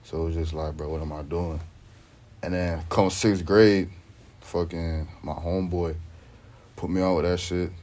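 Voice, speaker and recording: A man's voice: deep voice, flat delivery, normal speed, long pauses, darkish tone. A young man from Sweden, a rapper, chatting in a laid-back, slangy way as the interview guest. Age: young adult. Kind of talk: casual interview chat.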